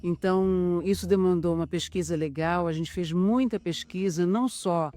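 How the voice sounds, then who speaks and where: A woman's voice: lowish voice, moderate pace, warm tone. A middle-aged Brazilian woman, an actress and co-writer, speaking in a making-of interview. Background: background music.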